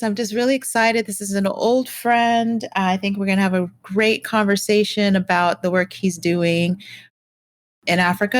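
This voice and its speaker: A woman hosting an interview podcast, bubbly and animated, who laughs easily with her guest. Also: medium pitch. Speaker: podcast host. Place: New York.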